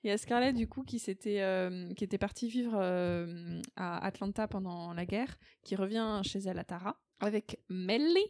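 A woman's voice: medium pitch, moderate pace, few pauses, a little mellow, no room sound. Chatty woman retelling a film. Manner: chatty, hesitant with fillers, wry. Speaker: podcast co-host. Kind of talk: casual chat, retelling a film plot.

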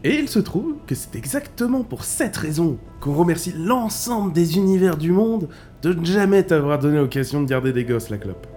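Young male gang member acted, audio drama. Sarcastic, rambling, resentful, soft tone with big pitch swings. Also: sing-song, faint music behind. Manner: sarcastic, rambling, drawn-out sentences.

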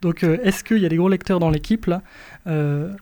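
A young man with a high voice hosts a relaxed radio talk show. He speaks loosely and stumbles through frequent fillers and restarts. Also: normal speed. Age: 20s. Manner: hesitant, many fillers. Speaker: radio host. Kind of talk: casual chat.

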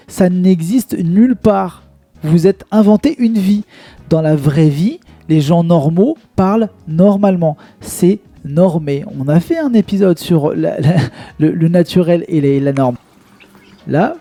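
A man's voice: a little high, warm tone. A man co-hosting a podcast, ranting emphatically and scolding his listeners, repeating phrases for force. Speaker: podcast co-host. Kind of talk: heated podcast rant.

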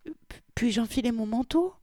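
A frightened young woman pleading in a high, strained voice that leaps up and down, as a reader puts her on. She sounds close to panic, recorded dry and close. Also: expressive, normal speed, warm tone, no room sound, lightly acted. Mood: pleading, panicky.

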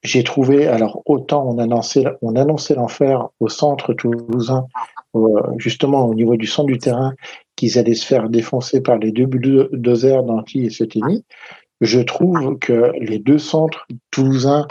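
A man's voice: medium pitch, fairly even intonation, moderate pace, warm tone, husky, dry recording. A man on a rugby talk show, chatting casually and hesitantly with many fillers and restarts. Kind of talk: casual sports chat. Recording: dull lo-fi sound.

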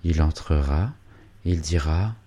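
A man's voice: rumbling low, slow, mellow, heavily acted. A man reading a storybook voicing a naive young woman who dreams aloud, airy and rapturous. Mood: dreamy.

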